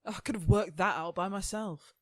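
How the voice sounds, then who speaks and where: A woman's voice: mid-range voice, moderate pace, lightly acted. A woman playing a haughty, impatient immortal entity in a radio play, scornful, sardonic and sweary. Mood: irritated.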